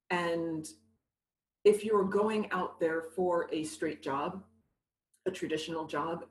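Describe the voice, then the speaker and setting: A woman from New City, talking candidly and with animation as an interview guest. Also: fairly low pitch. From New York City. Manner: candid, animated, laughs easily. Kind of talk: conversational interview. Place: living in Montreal. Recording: roomy echo.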